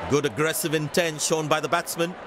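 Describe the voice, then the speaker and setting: A man giving brisk, measured cricket commentary lines for a video game. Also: a little high, steady tone. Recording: loud game noise behind.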